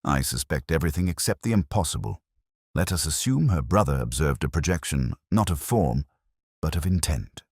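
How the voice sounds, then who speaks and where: A man's voice: very deep voice, expressive, normal speed, a little mellow, husky, no echo, studio quality, exaggerated voice. A man voicing an incisive, cool-headed detective in an audiobook story, speaking in precise, theatrical pronouncements. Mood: keen, analytical. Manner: precise, declarative, aphoristic.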